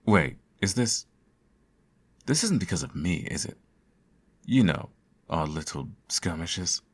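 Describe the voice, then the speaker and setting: A man playing a sardonic, theatrical supervillain who fusses over his nemesis in an ASMR audio roleplay, his voice slightly husky. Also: low-pitched, big pitch swings, slow pace, exaggerated voice. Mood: concerned, wry. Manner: theatrical, teasing, sarcastic.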